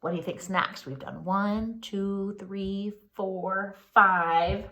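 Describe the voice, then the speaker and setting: A woman reading a picture book aloud for young children in an expressive, singsong way, pausing to ask questions like a pre-K teacher. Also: mid-range voice, poor audio.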